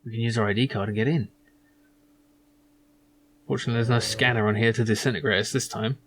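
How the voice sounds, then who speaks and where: A man's voice: medium pitch, steady tone, fast talker, fairly bright tone, a little hiss or hum. A man giving loose, rambling commentary as he plays a video game and reads its text aloud. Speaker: video game let's player.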